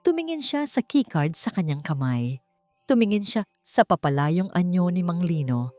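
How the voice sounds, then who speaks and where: Low-voiced Filipino woman narrating over music. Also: big pitch swings, moderate pace, darkish tone, no room sound. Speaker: audiobook narrator. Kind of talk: dramatic scripted story narration. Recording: music bed under narration. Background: background music.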